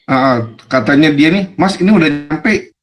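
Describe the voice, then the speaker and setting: A young man with a non-Jakarta regional Indonesian accent, telling stories as a podcast guest in a relaxed, rambling way. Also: fairly high pitch, a little slow. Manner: laid-back, rambling with fillers and laughs. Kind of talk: casual storytelling chat.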